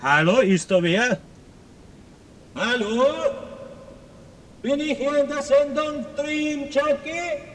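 An actor playing a flustered male radio show host in a radio play, with an Austrian accent and a halting, anxious delivery. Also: very high voice, a bit bright, slight background noise, full character voice. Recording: long cavernous reverb.

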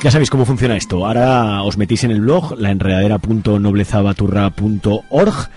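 A man's voice: fairly low pitch, fairly quick, darkish tone. A man reading scripted announcements as the narrator of an interactive radio serial. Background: music.

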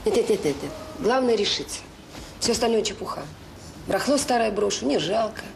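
A woman's voice: medium pitch, animated, fairly quick, creaky. An actress playing a restless, insistent middle-aged woman in a Soviet radio drama. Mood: agitated. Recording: noisy old radio play recording.

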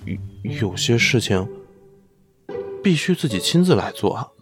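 A devoted young male idol in an audio drama, gushing and clingy. His pitch swings widely in an animated, boyish delivery, with music clearly playing behind him. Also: a little slow. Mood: earnest. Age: young adult. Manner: gushing, pleading, swinging from excited to earnest. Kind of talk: Chinese audio drama acting.

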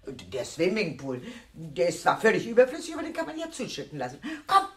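An older, eccentric aunt from a radio drama. Her voice is lowish and husky, and it swoops widely as she chatters on. She is gushing, theatrical and breezily insistent. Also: wildly swooping pitch, fast talker, full character voice. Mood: gushing, cheerful. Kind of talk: German radio play acting.

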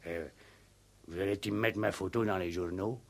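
An actor playing a simple-minded farmhand, a blunt, grumbling village gossip who talks haltingly in a radio play. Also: male voice, sits low, fairly even intonation. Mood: naive, sly. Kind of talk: 1960s French radio play acting.

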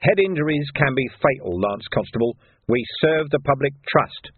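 Guy voicing a big thick copper sergeant, audiobook, husky, deadpan, fake polite, wont budge, tinny narrow sound. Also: mid-range voice, normal speed, dry recording, voice pushed up. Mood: stern. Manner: deadpan, mock-polite, plodding officialese. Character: stolid, mock-dim, unshakable male police sergeant. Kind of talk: audiobook character acting. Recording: narrow band, phone-like sound.

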